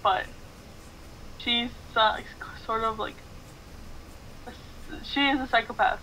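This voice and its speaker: A woman's voice: fairly high pitch, fairly even intonation, takes it slowly, pushed and bright. A woman chatting casually as a friend reacting along on an online voice call. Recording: slightly noisy voice call.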